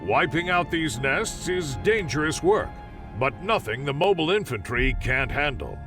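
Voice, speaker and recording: A zealous propaganda newsreel announcer for a military game. His delivery is dramatic and declamatory, swinging in a slightly high male pitch over clear background music. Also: big pitch swings, moderate pace. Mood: rousing, ominous. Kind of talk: satirical propaganda narration.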